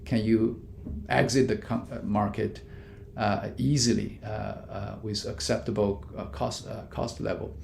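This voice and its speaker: A man, a business professor, giving measured answers in an interview with frequent fillers and restarts. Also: flat delivery, gravelly, darkish tone. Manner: many fillers and restarts, non-native grammar; measured, explanatory. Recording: echoey room with faint rumble.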